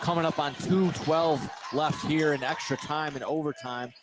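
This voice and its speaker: A man calling live high school basketball play-by-play as a radio sports announcer. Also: steady tone, moderate pace. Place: indoor basketball gym, courtside. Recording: noisy live gym ambience. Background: loud game ambience.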